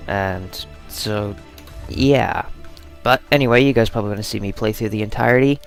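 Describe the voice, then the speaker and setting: A man with a fairly low voice hosts a Let's Play video. He talks informally and ramblingly, a little self-deprecating, with faint music behind him. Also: normal speed. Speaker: gaming video host. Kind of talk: casual Let's Play commentary.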